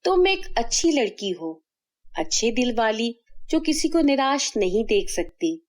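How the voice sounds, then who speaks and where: A gracious storybook fairy, played by a woman, with a lively, swooping intonation. She is wise and kindly, gently firm as she instructs. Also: on the high side, measured pace. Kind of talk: acted children's fairy-tale dialogue.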